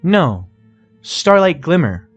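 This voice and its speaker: Man as female unicorn, brash, firm, indignant, quick-tempered, raised pitch, storybook character. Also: sing-song, husky, lo-fi sound, faint music behind, heavily acted. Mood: annoyed.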